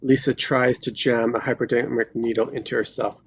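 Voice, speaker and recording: A man's voice: mid-range voice, little pitch movement, moderate pace, slight background noise. A man reading stage directions as scripted narration at a live table read.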